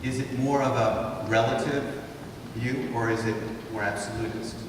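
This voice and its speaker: A man's voice: medium pitch, steady tone, moderate pace. Fifties man from Honduras, guest speaker, rhetorical lecture style, caught from far off in a big echoing hall. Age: 50s. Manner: rhetorical, asks the audience to think. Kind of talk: lecture to students. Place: large wood-paneled hall, indoors. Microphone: far camera, no mic seen. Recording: echoey hall from far off, noisy.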